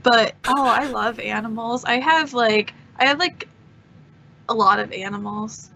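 A woman with a bright, fairly high voice chats casually as a podcast guest, rambling loosely with plenty of vocal fry. There is clear background noise on her recording. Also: measured pace, lo-fi sound. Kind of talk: casual chat.

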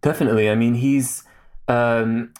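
A man's voice: mid-range voice, steady tone, normal speed, a little mellow, clean tone. A man in his thirties, a guest in a casual interview, speaking thoughtfully with many fillers and restarts. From Saudi Arabia.

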